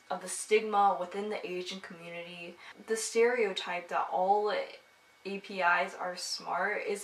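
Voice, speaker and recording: A woman making a personal statement, recorded with echo and some distance from the microphone. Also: medium pitch. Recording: echoey, distant mic, faint noise.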